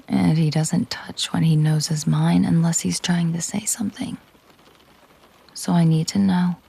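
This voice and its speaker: A woman playing a possessive, menacing mafia boss girlfriend in an intimate audio roleplay, speaking in a controlled, hushed, deliberate way with a breathy, husky voice. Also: on the low side, steady tone, exaggerated voice. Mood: quietly menacing. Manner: slow, deliberate, hushed, often breathy near-whisper.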